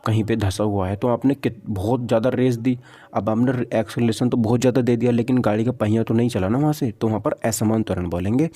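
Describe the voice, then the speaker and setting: A man's voice: lowish voice, mellow. A man teaching an exam-prep physics lesson step by step, asking questions, answering them himself and repeating key points.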